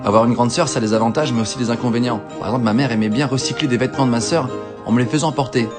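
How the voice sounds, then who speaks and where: A young man from northern France telling his life story with playful, self-mocking humour and quick asides. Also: mid-range voice, fairly even intonation. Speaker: YouTuber. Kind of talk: comic life-story narration. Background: background music.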